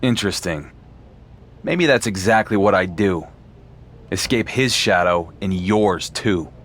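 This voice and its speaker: A cocky male wrestling champion in a video game who brushes off authority. His voice sits low and his delivery is dry and unimpressed. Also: animated, unhurried, fairly bright tone, heavily acted. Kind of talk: video game cutscene acting.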